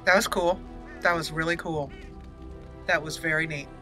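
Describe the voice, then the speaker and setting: A woman's voice: deep voice, fairly even intonation, normal speed, sharp tone, faint music behind. A woman giving casual, playful let's play commentary, chatting to herself and to the game as she goes. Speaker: gaming video host.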